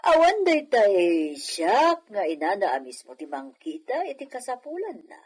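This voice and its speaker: A woman playing a wry, suspicious older village mother in an Ilocano comedy radio drama, with an Ilocano accent and a chiding, expressive manner. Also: mid-range voice, measured pace, breathy edge, full character voice. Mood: wry, reproachful.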